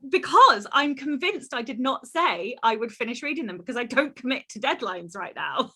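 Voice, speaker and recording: Bright-voiced animated woman podcast co-host. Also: a little high, moderate pace, husky. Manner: animated, laughs often, rambling with fillers. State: voice lower than usual this week. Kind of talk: casual chat.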